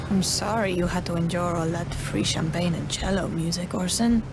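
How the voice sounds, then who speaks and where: A woman's voice: on the low side, normal speed, close to an everyday voice. A woman playing a teasing, sardonic militia leader in a video game, dryly sarcastic, with noise around her. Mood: mock sympathy. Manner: sarcastic, dry. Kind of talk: video game cutscene acting. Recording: clear ambient noise.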